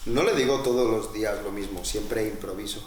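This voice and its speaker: A man's voice: lowish voice, brisk, slight background noise, close to an everyday voice. A man playing a cocky, tactless student joker in a mockumentary, needling and mocking, with an accent from Spain. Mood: defensive. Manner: needling, mocking, self-satisfied. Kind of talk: mockumentary film dialogue. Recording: echoey room under loud music.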